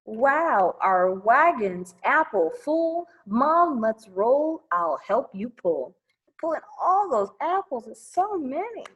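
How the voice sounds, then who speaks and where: Woman reading aloud slowly with lively pitch. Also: lo-fi sound. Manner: expressive, singsong, child-directed. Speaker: children's librarian leading storytime. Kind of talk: read-aloud picture book storytime with chatty asides.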